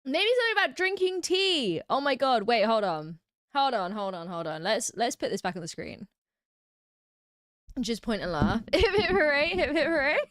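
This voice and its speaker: Lively, giggly British woman streamer. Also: sits high, normal speed, no echo, studio quality. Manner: giggly, chatty, reads comments aloud. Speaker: livestream host. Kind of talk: casual chat reacting to live comments.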